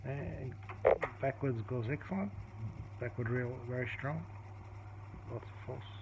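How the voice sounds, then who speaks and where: A man casually demonstrating a tape recorder repair at his workbench, explaining step by step in a halting way, with many fillers and restarts. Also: medium pitch. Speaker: hobbyist repairer. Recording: dull, lo-fi audio with clear background noise and clicks.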